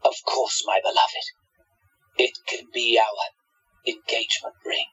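An actor playing an entranced, lovestruck villain with a vengeful streak in a radio play, in a theatrical manner, on dull lo-fi audio. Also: male voice, medium pitch, flat delivery, takes it slowly, pushed and bright, breathy edge, full character voice. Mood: dreamy devotion. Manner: theatrical, dramatic.

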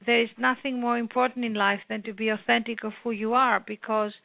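A woman from Greece with a Greek accent, an author talking earnestly at length in a phone interview, with many pauses. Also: on the high side, level tone, vocal fry, dry recording. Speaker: author guest. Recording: tinny phone line.

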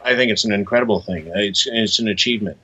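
Male guest, forceful and husky, thoughtful halting storyteller, heard through slightly muffled audio. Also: lowish voice, slight background noise. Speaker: interview guest, author. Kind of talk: conversational storytelling.

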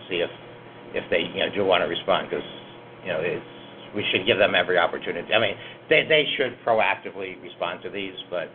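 A man hosting a student newsroom podcast round-table, measured and full of "you know" fillers. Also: low-pitched, phone-quality sound, slight background noise.

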